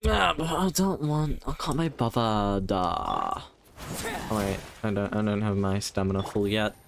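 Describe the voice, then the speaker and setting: A man's voice: on the low side, very animated, a little slow, gravelly, slight background noise. A man giving casual live gameplay commentary as a streamer, rambling and thinking aloud. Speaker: game streamer.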